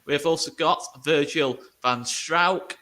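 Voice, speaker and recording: A man's voice: fairly high pitch, a little slow, sharp tone. A man hosting a casual online football chat, upbeat and salesy when wrapping up. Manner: upbeat, salesy wrap-ups. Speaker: host.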